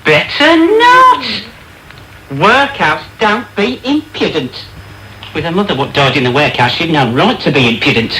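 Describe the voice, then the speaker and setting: An actor playing a cocky, cruel teenage apprentice, taunting mock-pompously in a radio play. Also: male voice, on the high side, big pitch swings, measured pace, pushed and bright, full character voice. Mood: sneering. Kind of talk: British radio drama acting. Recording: noisy, crackly medium-wave radio tape.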